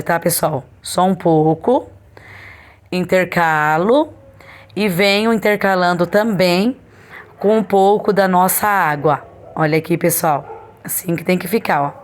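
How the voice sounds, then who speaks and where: A Brazilian woman speaks in a hoarse, fairly low voice. She presents a home recipe step by step, in a chatty, instructional way, like a casual tutorial for online viewers.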